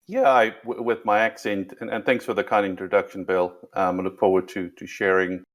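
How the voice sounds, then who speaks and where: A man's voice: sits low, little pitch movement, a little fast. A middle-aged South African man with a slightly Americanized accent, telling his career story as a guest on an interview podcast, often restarting and repeating words. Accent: South African, partly Americanized with a British touch. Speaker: guest, tech executive. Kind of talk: casual interview.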